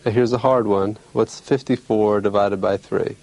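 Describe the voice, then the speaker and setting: A man speaking as a clinician demonstrating an exam, giving polite, measured, clearly worded instructions and explanations. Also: lowish voice, fairly even intonation, darkish tone, low-quality recording.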